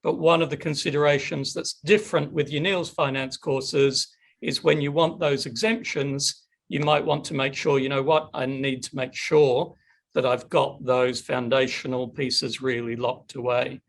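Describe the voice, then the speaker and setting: A man's voice: fairly high pitch, vocal fry. A middle-aged man hosting a webinar Q&A on a video call, chatty and upbeat. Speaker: webinar host. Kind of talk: casual webinar Q&A.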